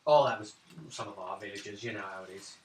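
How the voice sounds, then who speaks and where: A man's voice: on the low side, fast talker, a little mellow, a little hiss or hum, pitched below the performer's own voice, natural voice. A man voicing a friendly, easygoing village innkeeper in a tabletop game, explaining things, on a distant roomy recording. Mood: friendly, matter-of-fact.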